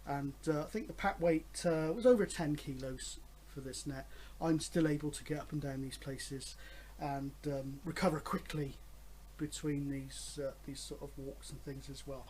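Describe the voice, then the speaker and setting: A man's voice: on the high side, steady tone, normal speed, darkish tone, rough recording. A man in his fifties talking casually and enthusiastically as a hobby vlogger, rambling along with frequent hesitations. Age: 50s. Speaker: host of a radio hobby vlog. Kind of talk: casual, rambling chat to camera.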